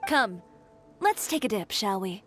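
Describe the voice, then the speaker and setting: A woman's voice: medium pitch, very animated, measured pace. A woman playing a blunt, fiercely determined young female fashion designer in a video game, composed, dry and self-assured. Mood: pleased. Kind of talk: video game character acting. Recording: game music behind voice. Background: game music.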